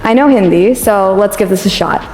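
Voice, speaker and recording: A woman's voice: medium pitch, moderate pace, a little mellow. A woman giving a conversational live product demonstration on stage. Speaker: product demo presenter. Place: stage before an audience. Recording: echoey hall with reverb, faint music.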